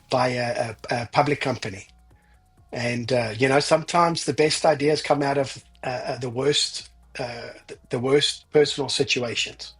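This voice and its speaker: Husky South African man in his forties. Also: medium pitch. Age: 40s. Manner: self-deprecating, laughs at his own stories. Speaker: guest, ranch founder. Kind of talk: casual interview storytelling.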